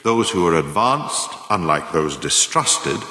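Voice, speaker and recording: A man in a radio play voicing a scheming, self-assured management mentor who lectures formally with deliberate, emphatic phrasing. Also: low-pitched, big pitch swings, pushed and bright, heavily acted. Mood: measured, cunning, instructive. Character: scheming, self-assured male management mentor dispensing cynical career lessons. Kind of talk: scripted dramatic monologue, like a lecture of numbered lessons. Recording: very echoey, long hall-like reverb.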